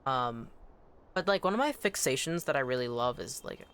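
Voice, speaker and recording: A game streamer with a clear, smooth voice and Anishinaabemowin as a first language chats casually to viewers. The delivery is animated and excitable, wandering through thoughts with frequent self-corrections. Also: medium pitch, moderate pace. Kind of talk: casual livestream chat while gaming.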